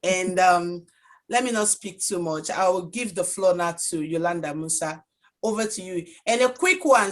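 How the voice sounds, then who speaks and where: Female online workshop host, average pitch, informal and halting. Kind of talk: informal live moderating. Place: online video call.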